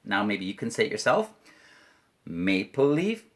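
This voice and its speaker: A Canadian man with a deep, low voice teaching English to children online. He talks slowly and lets his pitch swing widely, playful as he leads simple chants and repeats each line clearly. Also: big pitch swings.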